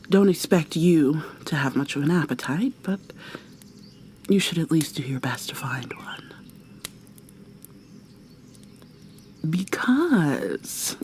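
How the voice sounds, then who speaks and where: A woman playing an obsessive, coldly possessive killer in an intimate ASMR roleplay, soft and often whispery, with a deliberate, mock-sweet, threatening manner. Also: fairly low pitch, slight background noise. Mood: controlled, ominous. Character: obsessive, menacing female serial killer lover, coldly possessive.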